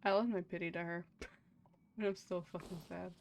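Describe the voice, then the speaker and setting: A young woman game streamer with a slightly low voice, rambling informally and grumbling as she plays. There is faint noise behind her live-stream chat. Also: fairly even intonation, unhurried, gravelly. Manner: informal, sweary, rambling complaints. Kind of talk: casual live-stream chat over gameplay.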